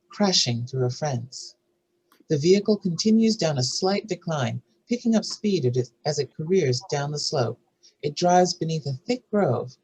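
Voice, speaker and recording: A narrator with a husky, slightly rough voice reads screenplay stage directions and scene headings. The delivery is scripted narration for a table read. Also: mid-range voice, a little mellow, poor audio.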